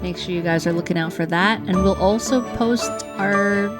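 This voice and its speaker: A woman's voice: mid-range voice, moderate pace, music in the background. A woman co-hosting a family show as a parent, speaking in a casual, conversational style.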